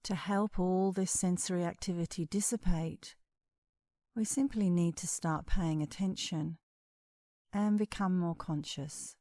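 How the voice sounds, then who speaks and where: A woman leading a guided meditation as an instructor, speaking in a calm, soothing, measured way with long pauses. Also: fairly low pitch, no room sound, studio quality.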